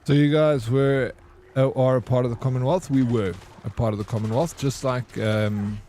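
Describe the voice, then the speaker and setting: A South African man, a gaming streamer, chatting casually and haltingly with viewers while he plays. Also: mid-range voice, steady tone, dark tone. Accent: South African English. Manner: rambling and hesitant, full of ums and restarts.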